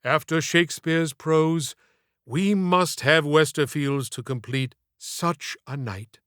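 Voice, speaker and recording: A man voicing an aging, tender, theatrical stage actor in an audiobook, declaiming with slow, dramatic swells and hushes. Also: a little high, clean tone, dry recording, clean studio sound. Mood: warmly grand. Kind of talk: audiobook character acting.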